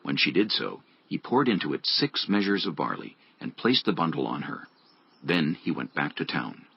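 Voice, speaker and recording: A man's voice: a bass voice, big pitch swings, moderate pace, gravelly, dull audio. A man narrating scripted scripture as the narrator of a dramatized audio Bible.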